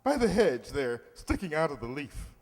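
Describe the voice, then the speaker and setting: A man's voice: on the high side, very animated, normal speed. A man playing a pompous, sentimental middle-aged uncle in love, with a theatrical, hesitant, blustering delivery in old-time radio comedy style. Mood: cheerful, tender. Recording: echoey hall with long reverb.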